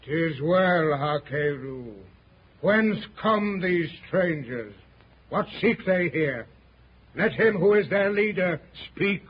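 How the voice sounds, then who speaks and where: A sinister, haughty king speaks slowly in a high, husky voice. His archaic words come out imperious, as in an old radio adventure serial, on a muffled vintage recording. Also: animated, full character voice. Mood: cold, imperious. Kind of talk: 1930s radio serial acting.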